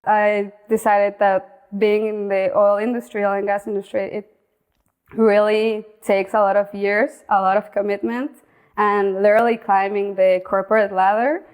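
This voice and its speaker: A young woman speaking English with a Mexican accent, Spanish being her first language. She talks in an even, narrow pitch band, pausing and restarting phrases as she tells of herself as a guest. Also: clean tone. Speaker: guest, outdoor content creator. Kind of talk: casual interview talk.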